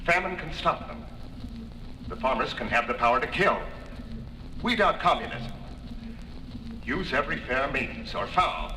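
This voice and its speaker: An actor playing an earnest, forceful farm movement politician in a 1950s radio drama, declaiming deliberately. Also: male voice, medium pitch, expressive, slight background noise. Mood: fervent. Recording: echoey, distant old 1950s radio recording.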